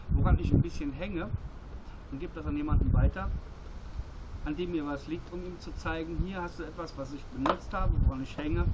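Higher-voiced man, noisy outdoor recording. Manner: blunt, colloquial, mildly profane. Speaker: outdoor gear reviewer. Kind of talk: casual hands-on product talk. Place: outdoors at a table by a metal-walled building. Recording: muffled outdoor recording, loud traffic noise, clicks. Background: loud passing vehicle noise, clicking of handled items.

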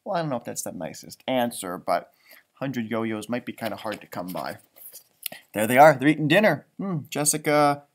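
A man in his thirties reading a children's storybook aloud, expressive, with chatty asides and drawn-out emphasis. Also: mid-range voice. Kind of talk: animated read-aloud with casual asides.

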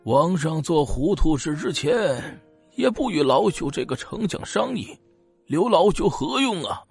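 Hoarse, stubborn old prime minister. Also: male voice, on the high side, big pitch swings, a little slow, soft background music, exaggerated voice. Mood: reproachful. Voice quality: hoarse, raspy. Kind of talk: audio drama acting.

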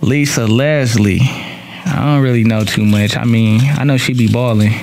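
A man's voice: medium pitch, steady tone, measured pace, darkish tone, a little hiss or hum. A young man chatting casually and bluntly as a studio guest, with slang, swearing and repetition for emphasis. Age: young adult. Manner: blunt, profane, repeats himself for emphasis. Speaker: interview guest. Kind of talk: casual, slangy opinionated chat. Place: small radio studio. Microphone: studio broadcast mic on boom arm.

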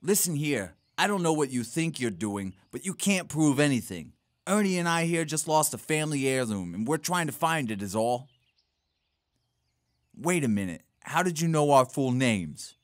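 A man playing a bossy, impatient, streetwise small-time crook in an audio drama, with a gruff manner. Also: a little high, sing-song, normal speed. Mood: defiant. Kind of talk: audio theater acting. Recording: faint background noise.

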